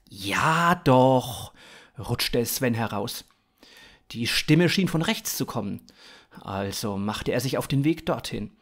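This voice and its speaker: A man in his thirties narrating scripted fiction in a dramatic, expressive way. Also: mid-range voice, breathy edge.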